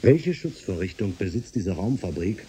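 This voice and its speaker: A man's voice: fairly low pitch, sing-song, normal speed, dark tone, husky, heavily acted. A man playing a sober, concerned physicist in a German science fiction radio drama, with a formal, probing manner. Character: sober male doctor of physics, concerned and measured.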